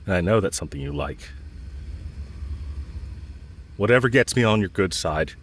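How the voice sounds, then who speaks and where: Man acting a low-voiced lovestruck villain. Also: lively intonation, husky. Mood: earnest, hopeful. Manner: hesitant, earnest, halting. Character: lovestruck male villain confessing to his enemy, yearning. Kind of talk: intimate audio roleplay acting.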